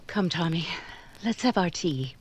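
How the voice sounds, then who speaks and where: An actress as a composed, low-voiced woman, radio drama. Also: expressive, a little slow. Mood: hushed, tender. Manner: composed, restrained, firm. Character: stoic, gentle woman, Miss Nellie, quietly letting a suitor go. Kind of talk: 1940s radio drama acting.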